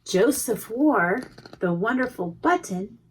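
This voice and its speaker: A woman reads a children's storybook aloud in a highish voice at an unhurried pace. She is expressive and stops to chat about the pictures. There is faint noise with small clicks behind her. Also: low-quality recording. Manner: expressive, pausing to comment on pictures. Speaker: preschool educator reading a storybook. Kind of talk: read-aloud storytime with asides to young children.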